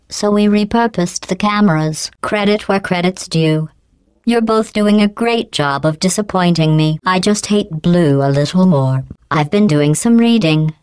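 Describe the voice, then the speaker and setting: Brief: cold sarcastic female AI, low-ish synthetic game voice, smooth and dry, deadpan mockery. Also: dry recording. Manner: deadpan mocking, clipped and measured. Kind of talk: video game voice clips.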